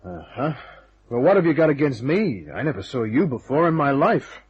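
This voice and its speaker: A man's voice: medium pitch, big pitch swings, moderate pace, slightly rough. An actor playing a wry, tough private detective in a radio drama, wisecracking and hard-boiled, who also narrates his case. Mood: defiant, wry. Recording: dull, band-limited old radio recording.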